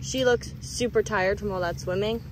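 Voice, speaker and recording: A woman, playing dolls with children in an exclamatory, expressive way, outdoors. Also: mid-range voice, moderate pace. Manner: exclamatory, expressive, with frequent gasps. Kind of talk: playful doll pretend play with children. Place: sandy beach, outdoors. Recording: outdoor beach noise.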